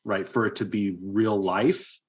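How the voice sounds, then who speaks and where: A man's voice: lowish voice, steady tone, measured pace. A man co-hosting a podcast, discussing movies casually in an emphatic, argumentative way. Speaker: podcast co-host.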